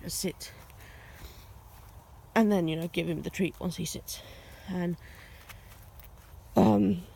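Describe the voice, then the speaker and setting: Slow-paced woman. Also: mid-range voice, a little mellow. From Finland. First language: Finnish. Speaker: dog owner and vlogger. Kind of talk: casual vlog talk. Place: outdoors on a walk.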